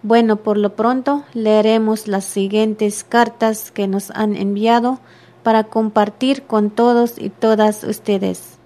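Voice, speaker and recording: A woman radio host introducing letters sent in by listeners, in a plain, measured way. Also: medium pitch, level tone.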